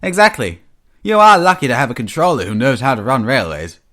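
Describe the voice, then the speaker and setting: Storybook express engine, male, friendly, proud, emphatic, animated, read by a man. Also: medium pitch, a little fast. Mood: chatty, proud.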